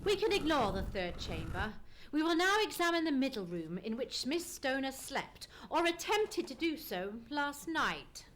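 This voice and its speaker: A woman playing a keen, methodical male detective in an old-time radio play, musing and deliberate, humming as she ponders. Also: sits high, sing-song, a bit bright, a little hiss or hum, full character voice. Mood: brisk, inquisitive. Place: live theatre stage performance.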